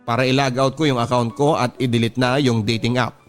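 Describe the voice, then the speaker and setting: A man's voice: mid-range voice, steady tone, brisk. Filipino man narrating sentimentally over music. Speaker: radio drama host reading a listener's letter. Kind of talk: dramatic first-person letter narration. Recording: music bed behind the voice. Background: background music.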